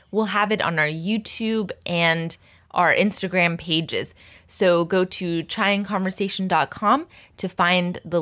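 A woman's voice: on the low side, fairly bright tone. Cheery woman podcast host, laughs a lot, chatty on a video call. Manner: upbeat, laughs often. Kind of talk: casual interview.

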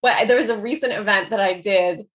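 A woman's voice: mid-range voice, fairly quick, a bit bright. American woman, 40s, author guest, rambling chatty delivery with lots of laughs. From United States. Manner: chatty, laughs often, many "like" fillers. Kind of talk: casual conversational interview.

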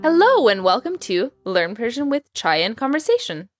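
A young woman raised in Texas, speaking with a Texan American accent, hosting a podcast language lesson with clear, careful pronunciation. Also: on the high side, wildly swooping pitch, normal speed, dry recording, clean studio sound, faint music behind. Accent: American English, Texas. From Iran. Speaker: language teacher, podcast host.

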